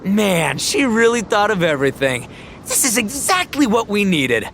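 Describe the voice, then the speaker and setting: A man playing a brash, boisterous, dim-witted young oni gang boss in a video game, bombastic and easily excited. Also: high voice, moderate pace, slight background noise, exaggerated voice. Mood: grateful. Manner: bombastic and easily excited, stumbling over formal words.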